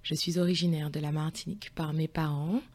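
Woman, 40s, low pitch, interview guest, thoughtful, hesitant. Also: little pitch movement, brisk, darkish tone. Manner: thoughtful, many hesitations and restarts. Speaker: podcast guest. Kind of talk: conversational interview.

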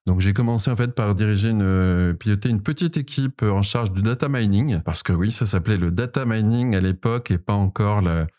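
A man's voice: lowish voice, a little fast, hardly any pauses, mellow. A man in his forties with a Parisian accent, answering interview questions with many fillers and restarts. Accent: Parisian French. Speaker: podcast co-host, data specialist, interviewed guest.

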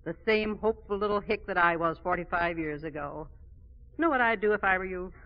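A woman acting in 1940s radio style as a tough, kindly old theatre cleaner, gruff and plain-spoken. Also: fairly low pitch, a little fast, close to an everyday voice. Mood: wistful, wry. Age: older. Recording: muffled 1940s radio broadcast.

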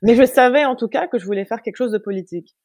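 A young woman from France, a guest talking in a casual interview, reflective and thoughtful, pausing often with fillers and restarting her sentences. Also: on the high side, darkish tone, dry recording, studio quality. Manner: reflective, many hesitations and restarts.

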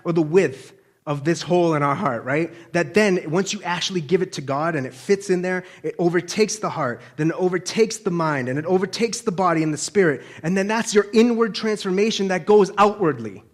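Male preacher, slightly high voice, colloquial, conversational sermon delivery with frequent check-in questions. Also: moderate pace.

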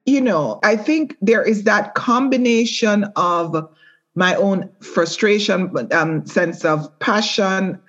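A middle-aged woman, a former prosecutor and judge, speaking earnestly and in a measured way in an interview. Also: medium pitch, muffled recording. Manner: earnest, measured, with repeated restarts. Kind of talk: reflective interview talk.

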